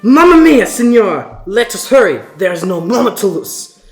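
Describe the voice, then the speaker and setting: A woman's voice: medium pitch, sing-song, moderate pace, darkish tone, reverberant, faint music behind, lightly acted. A woman voicing an old male gnome tailor with an Italian accent, urgent and commanding, in a storybook reading.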